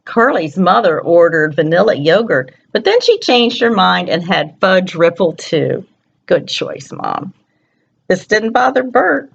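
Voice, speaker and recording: A woman's voice: lowish voice, sing-song, a little slow, vocal fry, breathy edge, highs cut off. A woman storyteller reads a picture book aloud to young children, expressively, often putting questions to her listeners.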